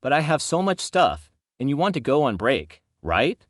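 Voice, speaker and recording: Guy playing tired well-meaning shopper, keeps insisting, earnest, lively pitch, dry recording. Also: moderate pace, no echo, lightly acted. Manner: earnest, persistent. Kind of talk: acted story dialogue.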